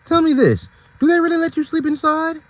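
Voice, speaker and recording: Man putting on a high-pitched, eager storybook zebra. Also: fairly even intonation, fairly quick, no room sound. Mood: wistful, eager. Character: friendly, eager male zebra in a children's storybook.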